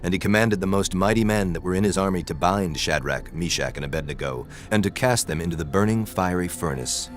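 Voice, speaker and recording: A man narrating scripture in a measured, solemn reading over a music bed. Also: sits low. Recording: clear music bed behind.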